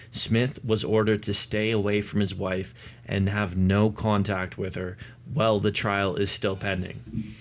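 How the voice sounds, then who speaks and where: A man hosting a news commentary video, explaining developments to his viewers. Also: fairly low pitch. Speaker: video host. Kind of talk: news commentary to viewers, part read statement.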